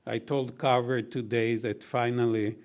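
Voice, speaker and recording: An older man with a baritone voice giving heartfelt closing thank-yous at a university reception, measured and gently humorous. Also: level tone. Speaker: honoree giving closing remarks. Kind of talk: heartfelt formal thank-you speech.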